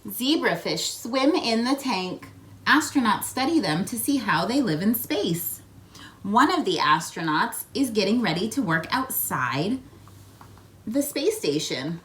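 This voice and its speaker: A woman reading a children's picture book aloud in a playful, expressive way, prompting young listeners with questions. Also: mid-range voice. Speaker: children's read-aloud host.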